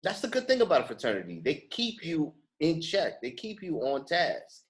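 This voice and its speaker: A man's voice: a little high, wildly swooping pitch, normal speed. A man hosting a podcast in a casual conversational style, chiming in with affirmations of what his guest says. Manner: affirming interjections, echoes the guest's points. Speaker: podcast host.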